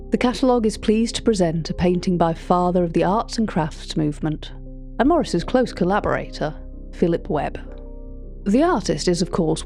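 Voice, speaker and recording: A woman's voice: on the low side, dark tone, lightly acted. A woman playing a cultured, wry narrator in an audio drama, with a formal, precise, drily opinionated way of speaking. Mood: dry, scholarly. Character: cultured, wry art-catalogue narrator recounting uncanny encounters. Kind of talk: scripted narration of an audio fiction.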